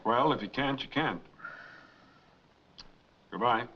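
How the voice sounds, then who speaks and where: A man's voice: medium pitch, expressive, slow, a little hiss or hum. An actor playing a stubborn, world-weary trucking man, terse and dry, in a muffled 1940s film noir. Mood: resigned.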